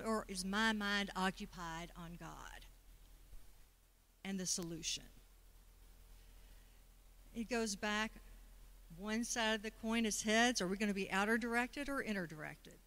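A woman's voice: medium pitch, unhurried, pauses often, pushed and bright. A woman giving a conversational Sunday sermon, often putting questions to the congregation. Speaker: speaker at a Sunday service. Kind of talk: sermon talk.

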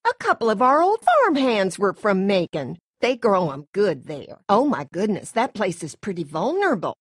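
A woman's voice: medium pitch, big pitch swings, normal speed, slightly rough, dry recording, clean studio sound. A woman playing a middle-aged Southern farm mother from a video game, with folksy, effusive hospitality and a controlling streak. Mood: soothing, folksy. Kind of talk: video game character acting.

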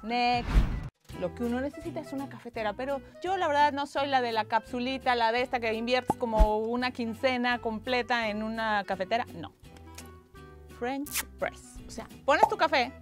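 A woman's voice: fairly high pitch, normal speed. A woman in her thirties hosting a playful kitchen gadget review, chatting to camera and tossing in sassy asides. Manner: chatty, sassy, asks herself questions and answers in asides. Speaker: lifestyle show host. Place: bright indoor studio set with kitchen gadgets on a table. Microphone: lapel mic. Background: background music.

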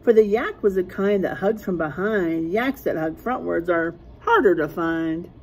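A middle-aged woman reading a rhyming picture book aloud like a teacher to young children, sing-song and deliberate, stressing rhymes and letters. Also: on the low side, a little hiss or hum. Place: small classroom, indoors.

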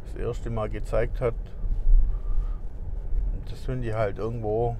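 A man's voice: on the low side, measured pace. Man chatting while driving a car. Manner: relaxed rambling with restarts and chuckles. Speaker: vlogger reviewing vape gear. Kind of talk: casual chat while driving. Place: inside a moving car. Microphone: lapel mic. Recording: car road noise behind.

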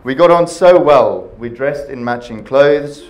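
A man's voice: mid-range voice, lively intonation, dull audio. A man in his thirties with a South African accent, giving a jokey best man speech from notes into a handheld mic in a large panelled hall. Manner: jokey, reading from notes, pausing for laughs. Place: large wood-panelled banquet hall, indoors.

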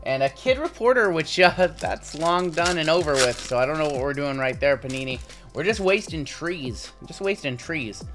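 A man in his thirties with a sore throat and a slightly raspy voice, chatting casually through a pack opening. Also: fairly high pitch, normal speed, music in the background. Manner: relaxed, chatty running commentary with frequent "uh"s. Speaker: card-breaking channel host. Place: indoor home studio with logo backdrop.

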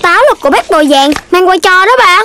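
A woman voicing an earnest little puppy in a children's cartoon, childlike and expressive, with a southern Vietnamese accent. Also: very high-pitched, fast, slight background noise, exaggerated voice. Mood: curious. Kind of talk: animated children's cartoon dub. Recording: music under the voice.

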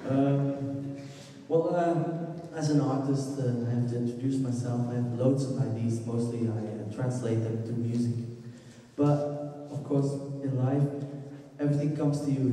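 A man from Belgium with a Belgian Dutch accent speaking English as a second language, giving a halting stage talk about his music into a handheld mic. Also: mid-range voice, steady tone, mellow, distant mic. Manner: halting, restarts, searches for words. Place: large dark auditorium stage. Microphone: handheld microphone. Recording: echoey hall, recorded from afar.

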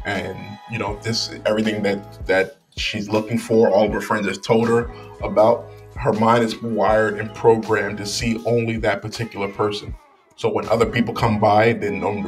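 Low, flat-voiced man with faint music. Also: far from the mic. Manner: thoughtful, many fillers and restarts. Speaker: vlogger. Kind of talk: casual commentary to camera. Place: small room indoors. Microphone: studio mic on boom arm.

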